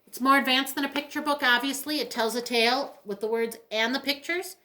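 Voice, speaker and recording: Bright, higher-voiced middle-aged woman, chatty. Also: steady tone, a little fast. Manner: chatty, enthusiastic. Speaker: librarian presenting book recommendations. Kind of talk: casual book talk, recommending books.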